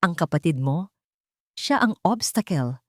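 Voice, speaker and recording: A woman's voice: fairly low pitch, animated, measured pace, dry recording, clean studio sound. A woman voicing a grieving, frightened old man confessing what he witnessed in an audio drama story. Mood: anguished.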